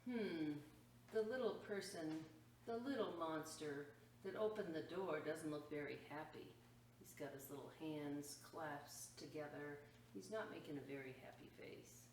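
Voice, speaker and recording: A woman with a slightly low voice reads a picture book to children at a very slow pace. She is expressive, exclaiming and adding asides about the pictures. The recording is echoey, as if in a room. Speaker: storytime reader at a library. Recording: roomy echo, distant mic, faint noise.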